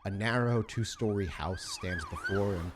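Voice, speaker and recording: A man narrating as game master, setting a cinematic scene in a measured, dramatic manner. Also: fairly low pitch, huge pitch swings, noisy background. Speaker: game master narrating a tabletop roleplaying session.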